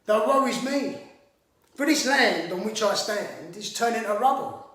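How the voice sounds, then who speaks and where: A man's voice: high-pitched, expressive, measured pace, pushed and bright, exaggerated voice. A man performing a theatrical monologue as a hot-tempered, swaggering brawler with mock-grand, sweary speech, in an echoey room. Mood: boastful, wry. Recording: echoey room, distant microphone.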